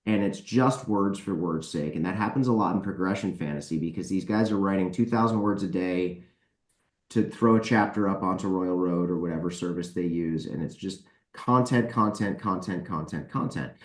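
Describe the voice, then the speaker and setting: A man's voice: deep voice, normal speed. A man in a casual podcast discussion, speaking emphatically and repeating words to drive points home. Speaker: podcast guest, author and editor. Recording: roomy, echoey voice pickup.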